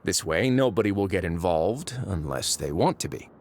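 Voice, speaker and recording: A calm, wry prison warden. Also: male voice, low-pitched. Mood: measured. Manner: measured, dry, authoritative. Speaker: prison administrator. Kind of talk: game voice acting. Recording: studio game audio with faint music.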